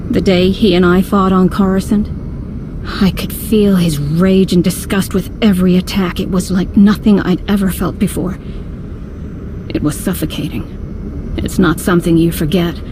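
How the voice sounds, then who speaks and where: A woman's voice: lowish voice, steady tone, moderate pace, noisy background, natural voice. An actress playing a guarded, blunt former Jedi woman protective of her family in a video game. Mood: grave, haunted. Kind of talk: scripted video game voice acting. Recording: loud music under the voice.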